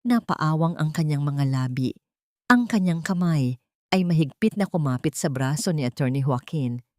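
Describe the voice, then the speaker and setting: A woman's voice: sits low, animated, normal speed, warm tone, no room sound, studio quality. A woman narrating a scripted dramatic audio story in a solemn, literary storytelling manner.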